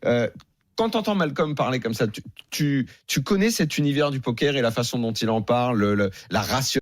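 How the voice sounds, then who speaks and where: A man hosting a radio poker show, questioning a guest in a lively, teasing way. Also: medium pitch, fairly quick.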